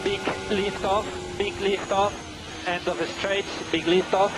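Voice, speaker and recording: High-pitched male race engineer on radio. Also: unhurried. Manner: calm, terse, repeats instructions. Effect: team radio. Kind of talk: team radio instructions. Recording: crackly team radio with music underneath.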